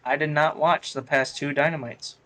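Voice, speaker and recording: A man's voice: fairly high pitch, fairly even intonation, normal speed, fairly bright tone. A man co-hosting a podcast in casual chat, blunt and opinionated, swearing freely. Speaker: podcast co-host. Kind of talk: casual wrestling review chat.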